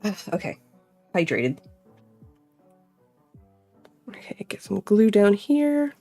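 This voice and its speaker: A woman's voice: medium pitch, very animated, a little slow, warm tone, faint music behind. A young woman chatting casually as she crafts, thinking aloud and filling her talk with "like.". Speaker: crafting vlogger. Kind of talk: casual chat while crafting.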